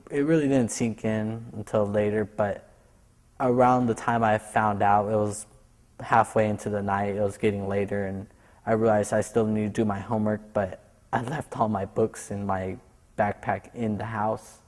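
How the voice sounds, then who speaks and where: Low, flat-voiced young man. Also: measured pace, in a large hall. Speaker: student. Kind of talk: personal testimony.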